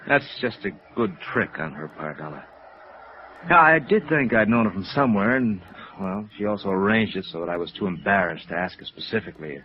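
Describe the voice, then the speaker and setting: An American actor playing an embarrassed, hesitant hotel manager who has been swindled, in a 1950s radio drama. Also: male voice, on the low side, lively intonation, fairly quick, lightly acted. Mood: wistful, embarrassed. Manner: hesitant, rueful. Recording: muffled vintage radio recording.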